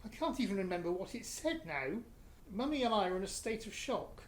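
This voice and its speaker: A British woman playing a blunt, indignant but protective parent in a radio play, with a brisk manner. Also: medium pitch, lively intonation, lightly acted. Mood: cross, defensive. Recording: roomy, faintly noisy recording.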